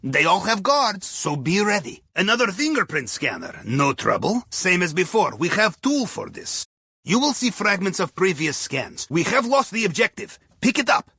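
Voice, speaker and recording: A shrewd, jovial Russian-accented sub captain from a video game. His voice is fairly high and bright, with a husky rasp. He coaches a heist crew in droll, swooping phrases. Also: very animated, moderate pace, dry recording, exaggerated voice. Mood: conspiratorial, upbeat. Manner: droll, conversational, dropping articles. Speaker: heist mission handler. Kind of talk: scripted video game dialogue.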